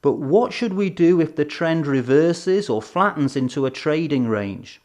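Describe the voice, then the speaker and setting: A man presenting an educational film in a scripted, measured, explanatory way with emphatic phrasing. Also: fairly high pitch, animated, a little mellow. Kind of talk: scripted instructional narration. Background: background music.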